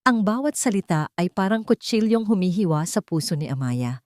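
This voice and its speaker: A woman's voice: mid-range voice, sing-song, moderate pace, darkish tone, dry recording, studio quality. A woman reading a scripted story as a dramatic narrator.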